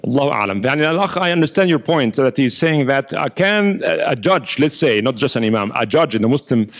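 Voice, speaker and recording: A man, an imam, giving a lecture with audience questions, explaining in a steady teaching manner and often repeating words as he thinks. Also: medium pitch, racing, creaky. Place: mosque lecture hall.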